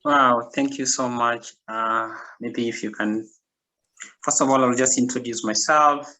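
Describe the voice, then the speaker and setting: A man from Kenya telling his own story as an invited speaker on a video call, halting now and then with fillers. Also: mid-range voice, fairly even intonation, sharp tone, low-quality recording.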